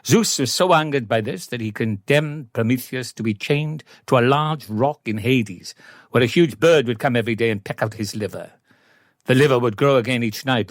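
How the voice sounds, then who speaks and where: A man delivering a measured, deadpan comic rant. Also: mid-range voice, no echo, studio quality. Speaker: comedian.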